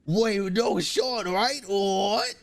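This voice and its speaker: A woman's voice: mid-range voice, drawn-out words, dry recording. A woman streaming a game with casual, reactive and sweary commentary.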